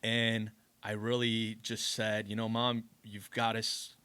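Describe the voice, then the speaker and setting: An earnest male podcast guest from Colombia, with a bright, forceful edge over a lowish voice. He tells his story reflectively, and the recording is close and dry. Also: completely flat delivery, takes it slowly, smooth, dry recording. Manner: earnest, reflective, says you know a lot. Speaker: podcast guest, business owner. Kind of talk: casual interview storytelling.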